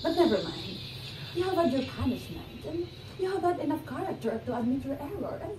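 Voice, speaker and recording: Woman acting a confident, high-voiced secret criminal. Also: very animated, brisk. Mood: cool skeptical. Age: young adult. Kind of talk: theatrical stage acting. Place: indoor living-room stage set. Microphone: far camera. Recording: echoey room, distant mic, background noise.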